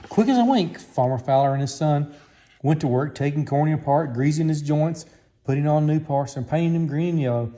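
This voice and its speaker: A man's voice: a little high, expressive, fairly quick, warm tone. A man reading a children's story aloud as a scripted narration. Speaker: storybook reader.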